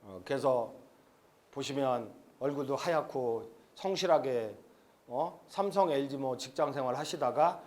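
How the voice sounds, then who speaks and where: A man's voice: mid-range voice, a little slow, a little mellow. A man in his fifties giving a frank, rambling seminar lecture at an expo, with many fillers. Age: 50s. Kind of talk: live seminar lecture to an audience.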